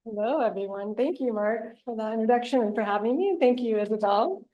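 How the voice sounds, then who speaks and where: A woman giving an academic lecture, a little hoarse from bronchitis, thoughtful and pausing often with ums. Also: on the high side, lo-fi sound. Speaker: guest lecturer. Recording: echoey lecture room.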